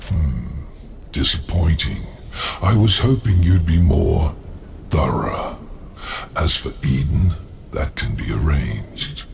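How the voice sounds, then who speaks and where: An actor playing a sly, sinister demon merchant in a video game, gravelly, mockingly courteous. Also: male voice, a bass voice, lively intonation, slow, a little mellow, slight background noise, full character voice. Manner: sardonic, insinuating. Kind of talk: dramatic video game cutscene acting.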